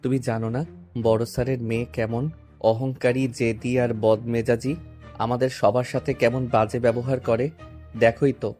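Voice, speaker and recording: Casting: male actor as humble young son, mid-pitched and monotone, aggrieved, audio drama with soft music behind. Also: normal speed, close to an everyday voice. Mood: hurt, reproachful. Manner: pleading, subdued. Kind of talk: Bengali audio story drama.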